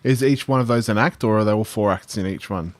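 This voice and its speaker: A man co-hosting a podcast, telling stories in an animated way and swearing casually. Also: fairly low pitch, fast talker, dry recording. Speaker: podcast co-host. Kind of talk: casual storytelling and banter.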